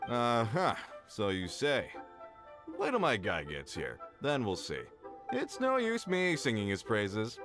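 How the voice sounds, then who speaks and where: A man's voice: medium pitch, wildly swooping pitch, measured pace. A man voicing a boastful middle-aged dock foreman who talks in a showy, blustering way. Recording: game dialogue over music.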